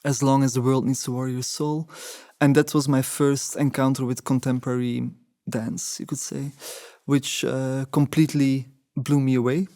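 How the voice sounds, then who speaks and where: A man in his forties whose first language is Dutch, answering interview questions in a thoughtful way, often pausing and restarting. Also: mid-range voice, warm tone, no room sound, studio quality. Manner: thoughtful, many hesitations and restarts. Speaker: interviewee, choreographer.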